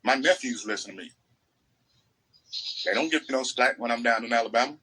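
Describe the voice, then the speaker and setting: Bright, husky American man talking casually. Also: medium pitch, a little fast, poor audio. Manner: emphatic, repeats phrases to make points. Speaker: podcast co-host. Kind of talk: casual opinionated podcast chat.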